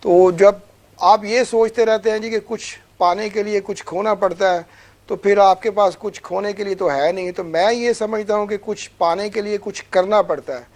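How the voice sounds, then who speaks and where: A man's voice: sits high, fairly even intonation, moderate pace. A man with a Pakistani accent giving conversational interview answers, earnest and motivational, repeating phrases for emphasis. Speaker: businessman guest.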